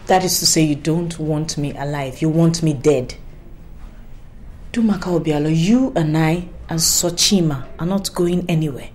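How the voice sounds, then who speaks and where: An actress playing an anxious young wife who talks hesitantly and stammers out of fear. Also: female voice, low voice, moderate pace, darkish tone, echoey room, slight background noise, lightly acted. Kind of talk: film drama acting.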